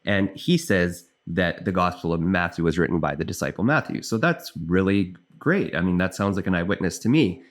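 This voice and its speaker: A man's voice: low-pitched, brisk, few pauses. A Canadian man in his thirties, a biblical scholar, talking earnestly to camera and thinking aloud as he explains. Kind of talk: casual explanatory commentary to camera.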